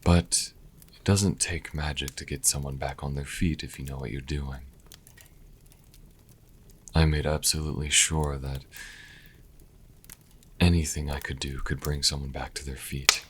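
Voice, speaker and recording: A man playing a proud, wry vampire healer in an intimate audio roleplay, speaking to the listener with haughty, deliberate pauses. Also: level tone, a little hiss or hum. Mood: measured, proud. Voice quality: very deep, husky, breathy at times. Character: sassy male vampire healer, proud, wry, grudgingly charitable.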